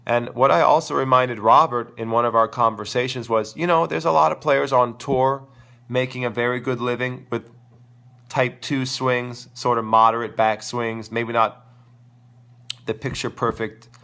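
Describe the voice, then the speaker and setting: A man's voice: medium pitch, steady tone, normal speed, pushed and bright. A man with a sore, crackly voice hosting a live tennis coaching Q&A, talking in an enthusiastic, rambling way. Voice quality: crackly, gravelly voice. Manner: enthusiastic, rambling, thinks aloud. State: sore throat, losing his voice. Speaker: tennis coach and show host. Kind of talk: live-stream Q&A talk.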